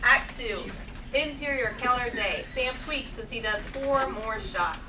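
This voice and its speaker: Recorded from far off over clear noise, a woman narrates stage directions from a script. Her voice is slightly high, in a table-read style. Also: a little slow. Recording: distant camera mic, clearly noisy room.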